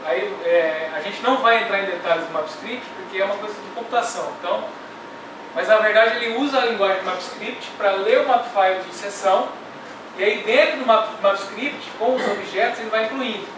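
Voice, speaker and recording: A man's voice: high-pitched, a little fast. A middle-aged man teaching a technical workshop, explaining step by step and checking in with "tá?". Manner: explains step by step, ends phrases with "tá?". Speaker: workshop instructor. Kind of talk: technical classroom lecture. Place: classroom with projector screen. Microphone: far camera. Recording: echoey room from far off, noisy.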